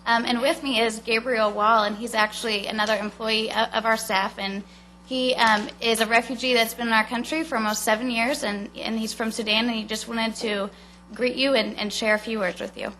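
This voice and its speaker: Bright-voiced woman introducing a guest. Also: on the high side, fairly even intonation, fairly quick, a little hiss or hum, low-quality recording. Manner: friendly, slightly hesitant with fillers. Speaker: charity staff member. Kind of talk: brief public remarks.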